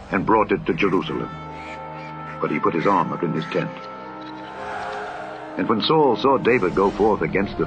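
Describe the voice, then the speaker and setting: A man with a lowish voice reading an old scripture tale for children in a solemn, steady way. His archaic phrasing rolls on over clear background music. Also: lo-fi sound. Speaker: narrator.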